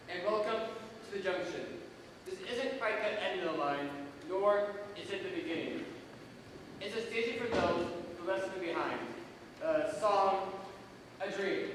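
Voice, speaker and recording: Male actor as mysterious train conductor for school stage play: high voice, deliberate theatrical delivery, big echoing hall. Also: fairly bright tone. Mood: mysterious, solemn. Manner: deliberate, theatrical, dramatic pauses. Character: solemn mysterious train conductor welcoming travelers. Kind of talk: scripted stage monologue. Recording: echoey hall from far off, faint noise.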